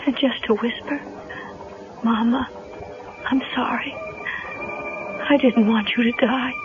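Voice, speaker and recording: An actress playing an earnest, grieving young heroine in a 1970s radio drama. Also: female voice, on the high side, fairly even intonation, slow pace. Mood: haunted, reflective. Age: young adult. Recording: muffled, noisy vintage radio recording.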